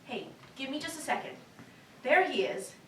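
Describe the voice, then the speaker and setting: A woman playing a brisk, practical theme-park staffer in a staged reading of a cartoon. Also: sits high, expressive. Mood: urgent. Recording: echoey room, distant mic, clearly noisy.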